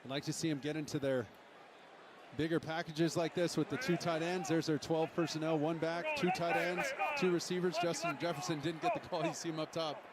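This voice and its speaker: A man's voice: fairly high pitch, fairly quick, over a noisy crowd. A man analysing football on a broadcast, explaining plays in coaching jargon. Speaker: colour analyst. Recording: noisy game broadcast audio.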